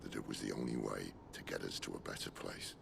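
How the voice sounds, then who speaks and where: A man playing a wry, world-weary middle-aged outlaw leader in a fantasy video game, with a gravelly voice and dry understatement. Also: brisk, background noise, full character voice. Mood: reflective. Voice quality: very deep gravelly, creaky. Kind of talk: video game cutscene acting.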